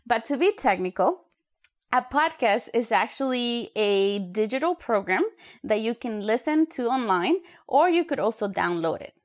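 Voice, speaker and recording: Woman co-host from Ecuador, chatty and friendly, a bit high-pitched. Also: animated, moderate pace. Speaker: podcast co-host, youth worker. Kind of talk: casual podcast hosting.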